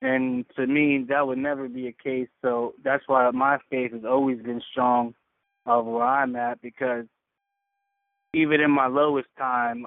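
A man's voice: medium pitch, vocal fry, no echo. A man speaking casually and reflectively on a community call, earnest and often searching for his words. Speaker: caller on a faith community call. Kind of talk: casual, reflective personal sharing. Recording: narrowband, radio-like phone line.

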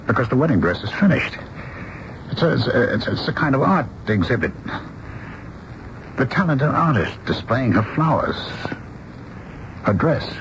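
A man's voice: mid-range voice, sing-song, normal speed, long pauses, fairly bright tone. An actor playing a bewildered, soothing middle-aged father, earnest and hesitant, in a 1970s radio drama. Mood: dawning pity. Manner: hesitant, repeating himself, earnest. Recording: muffled, noisy old radio broadcast.

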